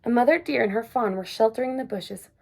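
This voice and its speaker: A woman reading a children's picture book aloud, gentle and expressive. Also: on the high side, fast, vocal fry, low-quality recording. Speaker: storyteller. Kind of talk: children's story read-aloud.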